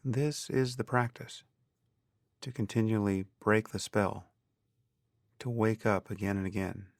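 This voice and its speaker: Slow, low-voiced male meditation guide. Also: no echo, studio quality. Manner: calm, measured, deliberate, with pauses. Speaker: meditation teacher.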